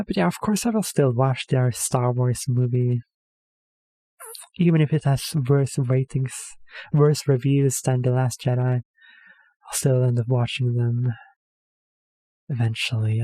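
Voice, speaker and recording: Man, mellow voice, dry sound, hesitant rambling reaction host. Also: mid-range voice, steady tone, measured pace, long pauses, no room sound. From Norway. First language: Norwegian. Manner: hesitant, rambling, self-correcting with many fillers. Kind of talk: casual rambling commentary.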